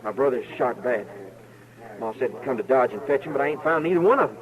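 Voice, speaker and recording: A man's voice: mid-range voice, expressive, quick pace, crowd noise, natural voice. A man playing an earnest, polite young farm boy with a rural Western American accent in a 1950s radio western. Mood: urgent. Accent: rural American West. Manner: hesitant, folksy, respectful. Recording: muffled old radio broadcast, background bustle.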